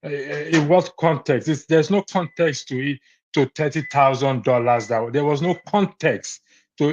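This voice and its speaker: A Nigerian man on a call-in broadcast, firmly insisting that his point is on record. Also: a little high. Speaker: caller on a live broadcast. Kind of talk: heated call-in debate.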